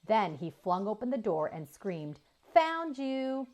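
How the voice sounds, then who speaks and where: A woman reading a children's book aloud as an expressive storyteller, with deliberate emphasis for young listeners. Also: on the low side. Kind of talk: expressive scripted children's narration.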